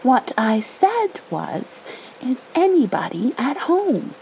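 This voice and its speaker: An earnest little male bear from a storybook, as a woman reader plays him. He sounds simple and friendly, with a mellow tone and a very lively melody that often lifts high. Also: takes it slowly, creaky, slight background noise, heavily acted, poor audio. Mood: puzzled. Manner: simple, earnest, humming to himself. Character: naive, friendly, hungry male toy bear.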